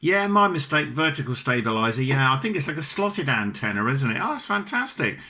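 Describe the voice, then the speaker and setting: A man's voice: medium pitch, very animated, fairly quick, very noisy. A British man chatting as an amateur radio operator, enthusiastic, courteous and talkative in a conversational radio exchange. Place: home ham radio station.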